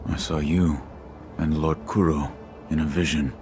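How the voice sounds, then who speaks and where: Stoic shinobi, very deep voice, terse, music behind. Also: male voice, unhurried. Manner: curt, flat, few words. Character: stoic male shinobi warrior, curt and grim.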